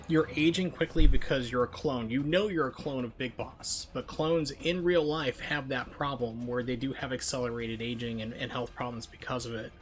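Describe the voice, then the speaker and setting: Casual male game streamer over music. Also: medium pitch. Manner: conversational, explanatory. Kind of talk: casual gameplay commentary with chat. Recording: game audio and music behind. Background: video game sound and music.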